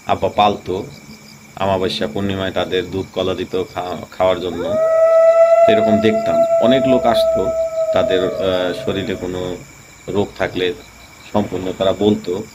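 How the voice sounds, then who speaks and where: Low voiced guy rambling thru a ghost story, crickets + noise behind. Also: sing-song, normal speed, long pauses, muffled recording. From Tangail, Bangladesh. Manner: rambling, many fillers and restarts. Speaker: channel host. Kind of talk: casual storytelling.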